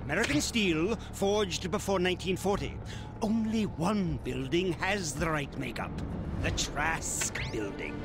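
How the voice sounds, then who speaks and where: A man's voice: fairly high pitch, lively intonation, measured pace, background noise. An actor playing a fussy, greedy male inventor who explains things in a precise, lecturing way. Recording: game cutscene with loud music.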